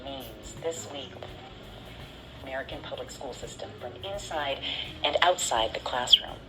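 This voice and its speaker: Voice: medium pitch, expressive, a little slow, heavy background noise. A radio news narrator reading a feature, heard through a small radio speaker. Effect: played through a small radio speaker.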